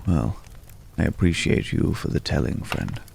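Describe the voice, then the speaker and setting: A man acting a mysterious, courtly, world-weary man in an intimate, hushed ASMR roleplay, with florid, old-fashioned, pensive phrasing and a gravelly voice. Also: very deep voice, sing-song, normal speed, exaggerated voice. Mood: gentle wonder.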